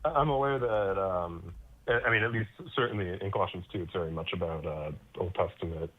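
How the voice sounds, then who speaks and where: A man's voice: low-pitched, brisk, dry recording. An American man from Connecticut calling in with a question, hesitant with many fillers but scholarly. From Connecticut, USA. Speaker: caller. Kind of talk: phone-in question. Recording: tinny phone line.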